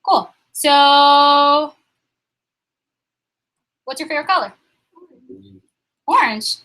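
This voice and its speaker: High, bright-voiced woman leading a training. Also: animated, rough recording. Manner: upbeat, quizzing the room with quick questions. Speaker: sales office trainer.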